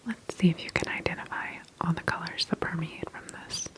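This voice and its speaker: Softly whispering woman in ASMR doctor roleplay. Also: fairly low pitch. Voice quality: soft, breathy whisper. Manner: gentle, unhurried, reassuring. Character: calm, attentive sci-fi doctor examining a patient. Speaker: ASMR roleplayer playing a medical examiner. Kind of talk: ASMR personal-attention roleplay.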